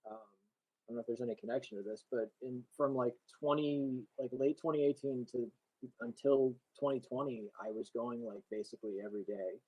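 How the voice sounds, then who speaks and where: A man's voice: mid-range voice, a little fast, darkish tone, slightly rough, rough recording. A man, a comic artist, talking casually and hesitantly on a video call. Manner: hesitant, lots of fillers and restarts. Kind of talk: casual chat.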